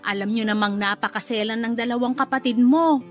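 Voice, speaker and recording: A woman's voice: on the high side, a little fast, natural voice. An actress playing a kind, chatty older mother-in-law in a radio drama, speaking in a motherly way. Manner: warm, motherly, polite thanks. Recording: faint music bed behind.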